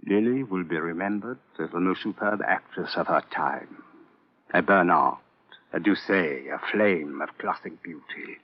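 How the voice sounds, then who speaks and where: A low, formal, proud old-radio psychiatrist. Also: male voice. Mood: mournful, fervent. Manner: formal, deliberate, stilted phrasing. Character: proud, secretive, grieving male psychiatrist, formal and weary. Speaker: actor in a radio drama. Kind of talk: classic radio drama acting. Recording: tinny, narrow old radio recording.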